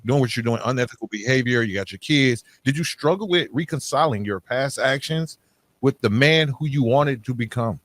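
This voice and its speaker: Male interviewer, medium pitch, casual podcast chat, close dry sound. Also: expressive, moderate pace, a bit bright, no room sound.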